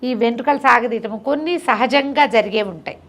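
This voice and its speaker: A middle-aged woman storyteller with a fairly high voice touched with creak. She tells folk tales conversationally, repeating words for emphasis and stepping aside to spell out the moral in simple terms. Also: fairly quick, fairly bright tone. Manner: didactic, explaining the moral simply.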